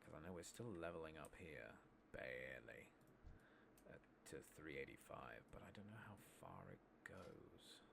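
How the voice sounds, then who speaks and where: Very deep, soft-spoken man. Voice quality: soft, hushed, breathy at times. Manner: unhurried, musing in short trailing phrases. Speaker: streamer playing an online fantasy game. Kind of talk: soft-spoken ASMR game commentary. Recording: steady clear background noise.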